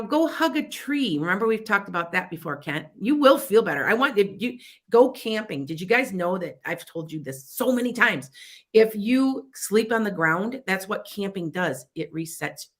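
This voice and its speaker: A woman's voice: mid-range voice, expressive, normal speed. A middle-aged woman with a Chicago accent who hosts a psychic advice show, talking in a rambling, chatty way full of restarts and asides. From Wisconsin near the Illinois border. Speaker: psychic radio show host. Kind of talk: casual advice talk on internet radio.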